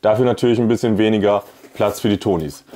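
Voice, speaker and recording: Male vlog host, thirties, lowish voice, chatty and casual hands-on product demo to camera. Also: steady tone, fast talker, dark tone. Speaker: YouTube host, product reviewer. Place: small indoor room with plain wall. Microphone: clip-on lavalier on shirt.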